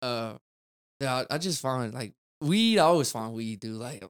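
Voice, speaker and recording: A young man talks loosely as a podcast guest, rambling and tossing in "dude" and "man". His voice is smooth and clear, sitting at a middle pitch for a man. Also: animated, moderate pace. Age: 20s. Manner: rambling, laid-back, peppered with "dude" and "man". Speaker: guest, car detailer. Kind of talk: casual podcast chat.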